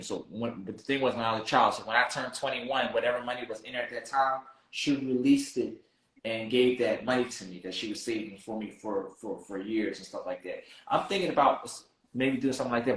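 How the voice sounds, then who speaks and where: A man's voice: medium pitch, fast talker, fairly bright tone. A man in his thirties asking for advice in a casual chat, rambling and restarting his sentences. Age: 30s. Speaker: guest seeking advice. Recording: roomy echo.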